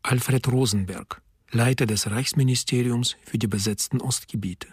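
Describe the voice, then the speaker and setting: A man's voice: medium pitch, fairly even intonation, moderate pace, darkish tone, breathy edge, no room sound, clean studio sound. A man making terse scripted source announcements for a radio documentary.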